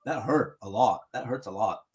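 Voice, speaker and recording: A man's voice: medium pitch, moderate pace, a crowd in the background. A man from the United States chatting casually on a podcast, rambling with many restarts and fillers. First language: English. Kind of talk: casual chat.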